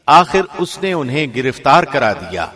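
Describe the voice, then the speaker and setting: A man reading a scripture translation from a script in a measured, formal announcer's delivery. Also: mid-range voice, big pitch swings, fairly bright tone. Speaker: translation reader, radio host.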